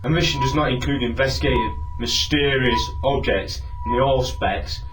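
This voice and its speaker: Irritable space captain male actor, sci-fi radio drama; commanding, curt, mid-pitched, heard at a distance under music. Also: moderate pace, lightly acted. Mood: irritated. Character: gruff, irritable spaceship captain barking orders. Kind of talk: science fiction radio play acting.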